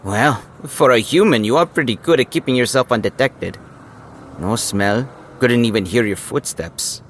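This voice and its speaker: An actor playing a cocky, easily baffled non-human companion with a casual way of talking in an audio drama. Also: male voice, mid-range voice, normal speed, a little hiss or hum, close to an everyday voice. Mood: wry, impressed.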